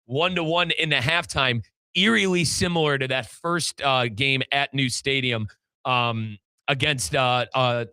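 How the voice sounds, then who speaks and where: A man's voice: mid-range voice, sharp tone, clear, resonant tone, no room sound. An American man hosting a sports show, talking soccer in a casual, chatty, slangy way. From United States. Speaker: sports podcast host and play-by-play commentator. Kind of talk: casual, animated soccer discussion.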